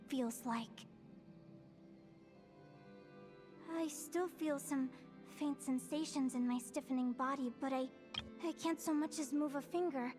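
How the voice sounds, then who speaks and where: A young fae girl with a very high, delicate voice. She speaks with heartfelt sincerity and emotional pauses, vulnerable but brave, in anime-style game dialogue. Music plays prominently behind her. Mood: faint, haunted.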